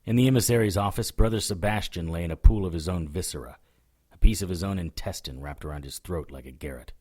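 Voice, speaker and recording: A man playing a hard-boiled occult detective in an audio drama, narrating and talking in a dry, sardonic way with a husky voice. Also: low voice, fast talker, a little mellow. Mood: grim, determined. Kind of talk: first-person noir narration and dialogue in audio drama.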